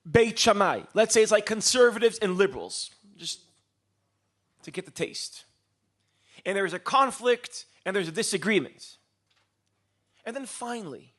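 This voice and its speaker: A man from Israel giving a live lecture as a storyteller, posing rhetorical questions and pausing for dramatic emphasis. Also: sits high, fairly bright tone. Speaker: public speaker, lecturer.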